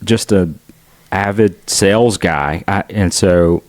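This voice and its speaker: A man's voice: low-pitched, slow, a little mellow, no room sound. A middle-aged man with a Southern US accent, telling stories in an outgoing, chatty way as a podcast guest. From United States. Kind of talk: casual conversational storytelling.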